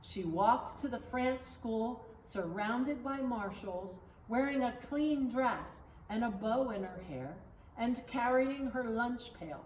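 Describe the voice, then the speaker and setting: A woman reads a children's storybook aloud as narrator, phrase by phrase in a deliberate way. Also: on the high side, animated, darkish tone. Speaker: pastor reading a storybook. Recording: echoey room, distant mic, faint noise.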